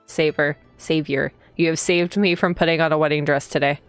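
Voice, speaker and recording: Low-pitched woman streamer with playful commentary. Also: fairly even intonation, brisk, clear, resonant tone. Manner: playful, teasing, giggly asides to viewers. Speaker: streamer playing a visual novel. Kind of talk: casual live gameplay commentary and reading aloud. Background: game music.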